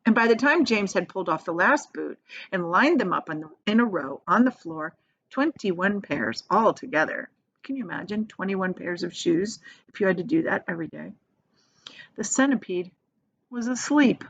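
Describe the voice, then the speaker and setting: A woman reads a storybook to children in a very lively, swooping voice. She slips in casual explanations like a teacher. The recording is slightly dull and lo-fi. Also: medium pitch, moderate pace, slightly rough. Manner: expressive, explains the story in plain words as she goes. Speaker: teacher reading aloud to children. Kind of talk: animated storybook read-aloud with casual asides.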